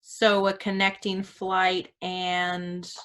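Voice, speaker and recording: A woman's voice: on the low side, completely flat delivery, extremely slow, a bit bright. An actress in a staged play reading, playing a smug, controlling woman who is composed and self-satisfied as she directs others. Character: smug, controlling woman, second-in-command of a scheme.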